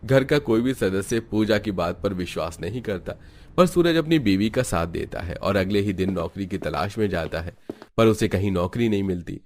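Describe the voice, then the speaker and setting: A man's voice: lowish voice, expressive, normal speed, darkish tone, slight background noise. A man narrating a scripted cartoon family story.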